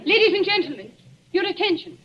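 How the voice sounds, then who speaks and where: A woman's voice: very high voice, big pitch swings, a little fast, a bit bright, full character voice. An actress playing a stately older lady addressing a meeting in a formal, declaiming 1940s radio manner. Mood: solemn. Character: stately older lady giving a public speech. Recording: dull old radio broadcast, heard from afar.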